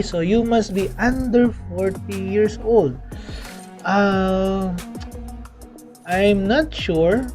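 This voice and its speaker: A young man from the Philippines explaining a scholarship in a casual vlog, talking in a chatty way with many fillers and frequent "okay"s, recorded indoors close to the camera. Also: sits high. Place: indoors, small room.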